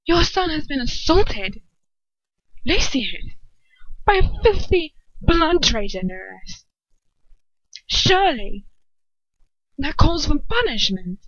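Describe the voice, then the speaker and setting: Woman putting on a high, haughty, vindictive witch. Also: big pitch swings, slow pace, long pauses, fairly bright tone, no echo, heavily acted. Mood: scornful, insistent. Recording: dull, lo-fi home recording.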